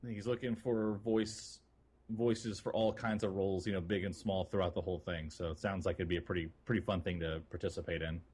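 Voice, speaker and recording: A man co-hosting a gaming podcast, chatting in a loose, informal and halting way. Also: low voice, steady tone, a little mellow, slight background noise. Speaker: podcast co-host. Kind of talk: casual gaming podcast chat.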